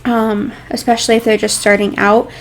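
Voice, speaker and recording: A woman's voice: a little high, flat delivery, normal speed, a little hiss or hum, low-quality recording. A young woman with a congested, nasal voice, chatting casually over a game build with lots of ums and likes.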